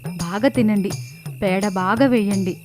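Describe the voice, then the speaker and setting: A woman voicing an excitable, resourceful young village daughter-in-law in a cartoon moral story, speaking emphatically. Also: medium pitch, a little slow, natural voice. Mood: coaxing, cheerful. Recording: music clearly behind the voice.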